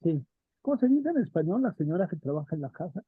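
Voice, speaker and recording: Casting: male teacher, husky and fairly high, discursive lecturing, thin dry phone-like audio. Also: sing-song, fast talker, dry recording. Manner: thinks aloud, asks students questions, frequent "¿no?". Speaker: theater history teacher.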